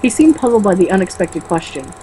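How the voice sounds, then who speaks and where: A woman's voice: mid-range voice, very animated, brisk, mellow, soft background music, rough recording. A woman reading a first-person novel aloud informally, occasionally stumbling over words and rereading them. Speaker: amateur reader in a comedic read-aloud video.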